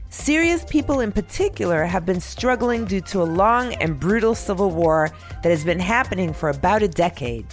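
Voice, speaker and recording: A woman hosting a news podcast, reading a scripted, upbeat narration with clear diction. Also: mid-range voice.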